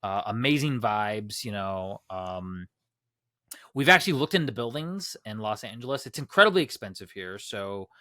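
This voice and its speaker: A man's voice: on the low side, lively intonation, moderate pace, bright, forceful tone, no room sound, studio quality. A man hosting a casual group podcast, rambling with many fillers and restarts. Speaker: podcast host. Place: Los Angeles.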